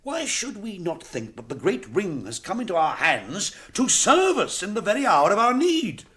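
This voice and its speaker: High-voiced, proud, impatient warrior. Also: male voice, huge pitch swings, moderate pace, pushed and bright, heavily acted. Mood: challenging. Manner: forthright, challenging, declamatory. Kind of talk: classic British radio fantasy drama acting.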